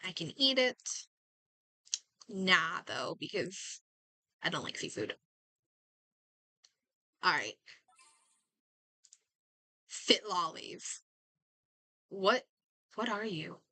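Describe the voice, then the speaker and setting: A woman's voice: fairly low pitch, very animated, very slow, pauses often, bright, forceful tone, no echo, low-quality recording. A woman game streamer chatting casually as she plays, muttering and thinking aloud to herself. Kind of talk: casual livestream chat while playing a game.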